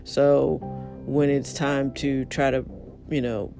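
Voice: medium pitch, flat delivery, slow pace, gravelly, dark tone, soft background music. A speaker who is losing their voice delivers a blunt, frustrated, casual monologue straight to the listener. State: hoarse, losing voice.

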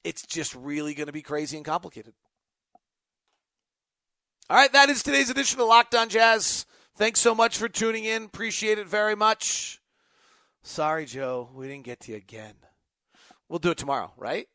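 A man's voice: high-pitched, animated, moderate pace, long pauses, bright, forceful tone, no echo, studio quality. A man hosting an unscripted sports talk show, thinking aloud in choppy, emphatic phrases. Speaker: sports podcast host. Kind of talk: unscripted basketball talk, answering fan questions.

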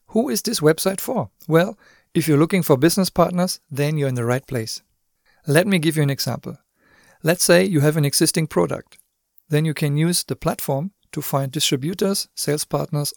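A man's voice: a little high, gravelly, dry recording, studio quality. German-accented man hosting a podcast. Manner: friendly, explanatory, with occasional asides to the listener. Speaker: podcast host. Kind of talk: scripted podcast monologue.

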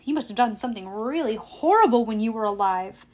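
A woman's voice: on the high side, expressive, a little fast, darkish tone. A woman voicing an earnest, defiant and loyal schoolboy hero in a storybook reading. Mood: curious.

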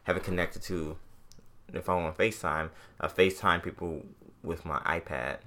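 Very deep-voiced man chatting casually. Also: fairly even intonation, normal speed, sharp tone. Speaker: podcast co-host. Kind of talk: casual chat about tech and pop culture.

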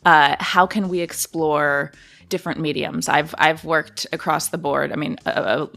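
A woman's voice: sits low, fairly even intonation, a little slow, vocal fry, a bit bright. A woman chatting casually as a guest in an interview, talking as a creative producer. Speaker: podcast guest, audio drama producer.

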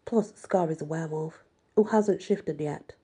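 A woman's voice: deep voice, unhurried, dark tone, breathy edge, exaggerated voice. A woman voicing a sardonic, defensive teenage fairy-tale heroine in an audiobook, with a wry, evasive manner.

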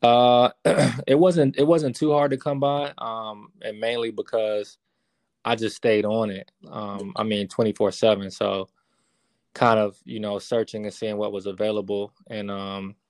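A man in his thirties, a real estate investor, answering interview questions in a relaxed, casual way with many fillers. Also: fairly low pitch, unhurried, dry recording. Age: 30s.

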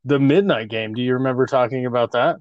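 A man's voice: mid-range voice, fast talker, dry recording, dull audio. A man in his thirties co-hosting a casual podcast, joking crudely and laughing often. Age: 30s. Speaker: podcast co-host.

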